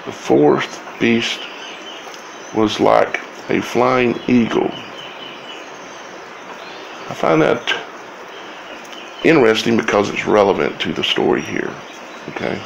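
Slow, very gravelly man. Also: on the low side, lively intonation, a little hiss or hum, poor audio. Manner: halting, broken phrases with long pauses. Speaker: video host. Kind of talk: informal scripture commentary to viewers.

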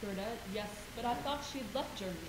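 A woman's voice: mid-range voice, fast, natural voice. A woman acting a concerned nun in a stage play. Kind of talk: amateur stage acting in a high school play. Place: theater stage, indoors. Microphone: distant camera. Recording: echoey stage, noisy distant camera.

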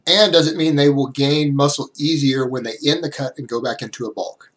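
A man in his thirties, a little hoarse from a cold, explaining fitness science directly to a webcam in a small room at home. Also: mid-range voice, fairly even intonation, brisk, fairly bright tone. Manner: direct, explanatory, talks with hands. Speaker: fitness YouTuber. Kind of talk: explanatory vlog to camera.

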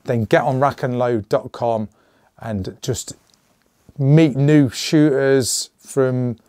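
A middle-aged man with a slightly hoarse voice, hosting a casual channel update and rambling with jokey asides. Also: mid-range voice, fairly even intonation, slow pace, warm tone, no room sound, clean studio sound. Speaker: channel host. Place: small indoor gun room.

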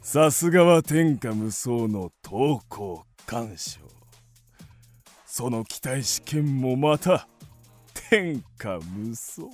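An actor playing a cruel, gloating king of ancient China in a radio drama, with pompous, drawn-out, theatrical delivery. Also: a little high, a little mellow, heavily acted. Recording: music behind the voice.

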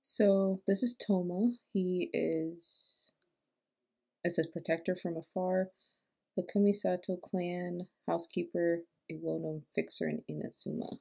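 Young woman reacting casually, low clear voice. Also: a little mellow. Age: young adult. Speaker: reaction video host. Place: small room indoors at home.

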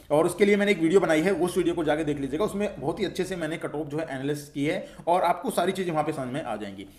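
A man's voice: fairly high pitch, a little mellow. A man coaching students in an informal explainer, repeating himself and answering his own questions reassuringly. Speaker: exam-update coach and channel host. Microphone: voice-over for a screen recording.

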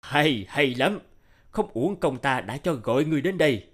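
A man's voice: medium pitch, expressive, normal speed, fairly bright tone. A man playing a pompous, hot-tempered middle-aged king who speaks in a commanding manner in a cartoon dub. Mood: pleased, commanding.

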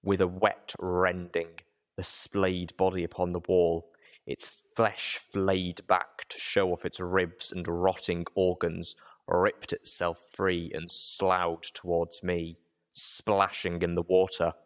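A man with a low voice narrates a story in the first person. His reading is dramatic, like an audiobook, and sits deep in pitch. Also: level tone, takes it slowly.

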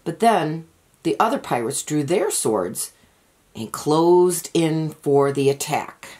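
A woman reading a children's picture book aloud as an expressive, deliberate storyteller. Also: sits low, breathy edge. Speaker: storybook reader.

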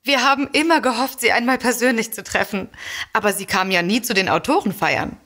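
A haughty hostess who loves literature, in a comic radio play. Her voice sits slightly high and is clearly dramatised. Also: brisk, fairly bright tone. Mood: admiring. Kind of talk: comedic audio drama acting.

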